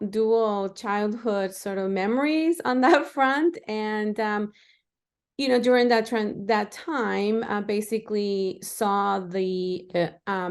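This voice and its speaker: Smooth-voiced woman telling her story. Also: medium pitch. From Los Angeles, grew up in El Salvador. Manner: warm, reflective storytelling with Spanish phrases. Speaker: guest. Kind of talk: live podcast interview, conversational.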